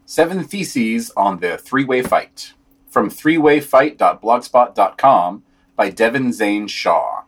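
A man with a slightly husky voice reading written news summaries and quotes aloud on a podcast, with some commentary. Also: mid-range voice.

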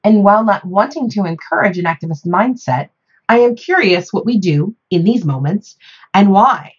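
A woman's voice: medium pitch, lively intonation, moderate pace, breathy edge. A woman presenting a scripted topical monologue on a podcast, recorded in dull lo-fi audio.

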